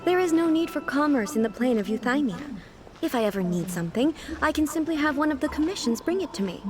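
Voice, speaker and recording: A composed, godlike ruler speaks in formal, measured sentences. Her voice sits slightly high with a lively, wide-ranging lilt. Game music plays clearly behind her. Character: regal godlike ruler, composed, curious about town. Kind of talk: video game voice acting.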